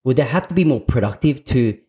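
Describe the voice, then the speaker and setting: An upbeat man from Australia hosts a podcast interview, often restarting his sentences. His voice is mellow and dark, at an everyday pitch for a man. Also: lively intonation, brisk. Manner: enthusiastic, with restarts. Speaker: podcast host.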